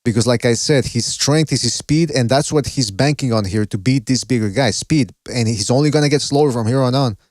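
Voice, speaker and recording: A man hosting a boxing podcast, chatting casually and thinking out loud with some swearing. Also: medium pitch, fairly quick, hardly any pauses, no echo, clean studio sound. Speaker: podcast host.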